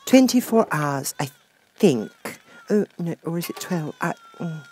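An earnest little girl from a storybook, played by a man, speaks in a high, lilting voice. She is polite and prim, eager but anxious, and a little hesitant. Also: animated, unhurried, darkish tone, husky, dry recording. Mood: unsure.

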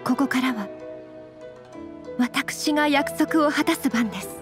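A young noble swordswoman from an anime game. Her voice is high, her manner composed, formal and courteous, gentle yet firm, with music behind her. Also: unhurried. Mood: calm, resolute. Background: background music.

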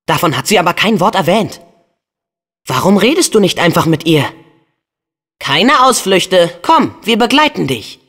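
An actor voicing an earnest, excitable teenage boy hero in a video game, with a youthful, expressive and eager delivery. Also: high-pitched, unhurried, pauses often, slightly rough, full character voice. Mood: eager, friendly. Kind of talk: video game character dubbing.

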